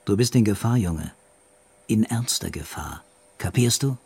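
Husky, blunt police investigator. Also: male voice, on the low side, lively intonation, measured pace, a little mellow, exaggerated voice. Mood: stern warning. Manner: blunt, interrogating. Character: blunt, probing male police investigator, tough and weary. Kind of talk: German radio crime drama acting.

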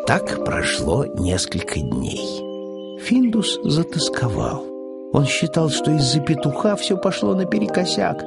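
A man narrating a children's audiobook in an expressive, scripted storytelling style. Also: on the high side, unhurried, creaky, over loud music.

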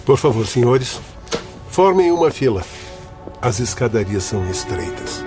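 A courteous doctor escorting patients in a radio drama. He speaks formally in a mid-pitched voice, with faint music behind. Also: big pitch swings, measured pace. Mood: authoritative. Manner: formal, orderly. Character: courteous father doctor escorting sick spirits.

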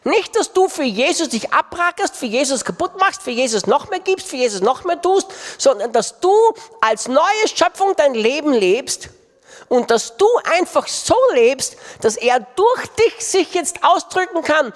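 A man's voice: very high voice, big pitch swings, unhurried, a bit bright. A man preaching a sermon with impassioned delivery and emphatic repetition. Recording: long reverb of a large hall.

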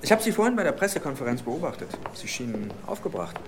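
A male actor plays an oily 1920s newspaperman in a radio drama. He talks suggestively in a mid-pitched voice with lively swoops, over clear background noise. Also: quick pace, close to an everyday voice. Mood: insinuating.

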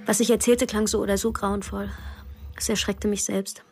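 An actress playing a troubled, abused woman who confides her story haltingly in a radio drama, at times nearly whispering. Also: medium pitch, flat delivery, gravelly, darkish tone, slight background noise, natural voice. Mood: shaken, confiding. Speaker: narrator and actor. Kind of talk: first-person radio drama narration.

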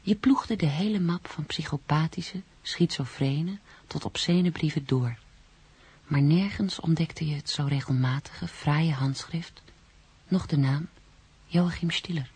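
A woman playing a hushed, watchful storyteller in a radio drama, narrating with a breathy, half-whispered voice. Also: sits low, a little slow, full character voice. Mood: hushed, measured. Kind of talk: scripted second-person radio drama narration.

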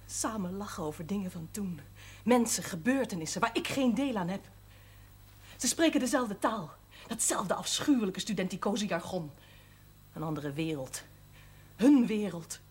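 An actress playing a jealous, hurt young wife from the south of the Netherlands, with a southern Dutch lilt, in an old TV drama. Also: female voice, medium pitch, expressive, normal speed, a little mellow, breathy edge. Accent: southern Dutch, Limburg. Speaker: doctor's patient. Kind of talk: 1970s TV drama acting.

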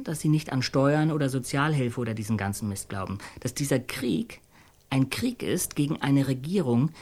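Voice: mid-range voice, a little mellow, lightly acted. An actor in a radio play voicing an earnest, awestruck storyteller who hesitates, searches for words and repeats phrases. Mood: fervent. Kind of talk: radio play monologue in halting colloquial speech.

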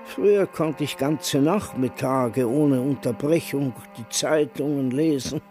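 A man's voice: mid-range voice, slow pace, a little mellow, faint music behind, lightly acted. An older man acting a grumbling, teasing, hard-of-hearing man in his nineties in a radio play. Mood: aching, complaining.

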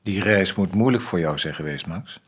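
Awkward erudite gentleman, husky, fairly low, formal, hesitant, radio play. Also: animated, normal speed. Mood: concerned.

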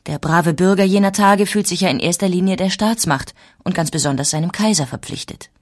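A woman narrating a scripted historical documentary in a measured storytelling manner. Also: lowish voice.

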